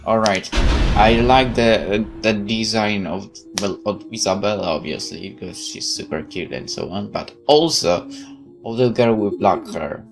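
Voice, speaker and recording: A deep-voiced man narrates a visual novel as a let's play host. He slips between reading the text and casual side remarks. Game music plays behind him. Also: unhurried. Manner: off-the-cuff remarks between read passages.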